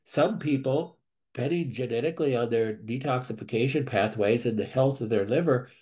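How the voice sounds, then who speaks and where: A man, a clinic doctor, reads member questions aloud and answers them in a measured way, thinking aloud with restarts, over a screen-share video call. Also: medium pitch, darkish tone.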